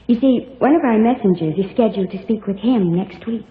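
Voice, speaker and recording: A woman's voice: mid-range voice, a little fast, a little hiss or hum, natural voice. An actress plays a kindly, angelic young woman with prim, precise manners. Her voice carries a long echo on a tinny vintage radio recording. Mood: gently admonishing. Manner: polite, precise, gently proper. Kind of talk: 1940s radio drama acting.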